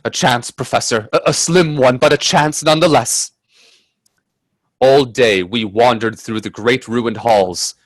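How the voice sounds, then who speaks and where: A man playing an earnest, anxious gentleman companion in a radio play, sounding dramatic and grave. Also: medium pitch, no room sound, natural voice. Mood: uneasy. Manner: earnest, hesitant in dialogue, measured in narration.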